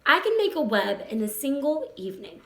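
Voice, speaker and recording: Wise female spider, read by a woman, high-pitched. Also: very animated, a little fast. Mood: consoling, matter-of-fact. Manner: patient, instructive. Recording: roomy echo.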